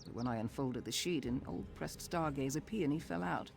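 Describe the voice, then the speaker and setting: An actor playing a proud, sardonic exiled noble turned veteran thief in a video game, speaking in a dry, formal, haughty manner. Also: male voice, a little high, moderate pace, gravelly, faint music behind. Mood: uneasy, guarded.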